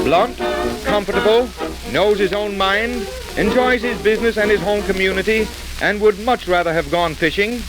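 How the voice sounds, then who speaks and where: A male announcer reads scripted narration as on 1930s radio. His voice is high for a man. Faint music plays behind him. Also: rough recording. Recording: vintage radio recording with music.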